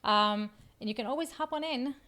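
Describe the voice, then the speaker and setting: A woman with an Israeli accent talks through a casual live stream, upbeat and quick to laugh. Her voice sits a little higher than most women's. She speaks into a video call mic. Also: crowd noise. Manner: upbeat, laughs often, fillers.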